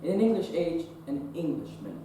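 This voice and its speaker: Casting: young man as a formal young Victorian doctor, measured and proper, far-off echoey stage. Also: fairly high pitch, sing-song, background noise. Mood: reflective. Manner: formal, measured, self-questioning. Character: earnest young Victorian surgeon, proper and curious. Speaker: actor in a teen stage production. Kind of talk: stage play acting. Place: theater stage. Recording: roomy stage sound from a distant mic.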